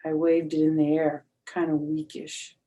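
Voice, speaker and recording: A woman's voice: sits low, monotone, normal speed, mellow. A woman reading a children's book aloud expressively as a storyteller, with explanatory asides.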